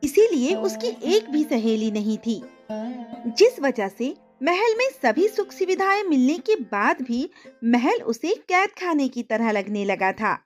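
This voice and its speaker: A woman narrates a scripted story in a high voice. Her intonation is lively, and light music plays underneath. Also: a little slow.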